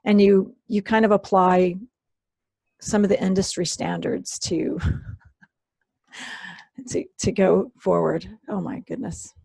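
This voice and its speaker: A woman presenting an online workshop, hoarse and losing her voice, often clearing her throat and apologizing. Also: medium pitch, steady tone, slow, pauses often, a little mellow, dry recording. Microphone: computer mic on a video call.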